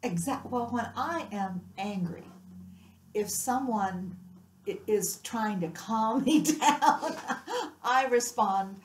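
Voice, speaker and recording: A woman's voice: a little high, slow pace. A woman speaking frankly and informally as a participant in a group seminar discussion. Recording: echoey room with faint noise.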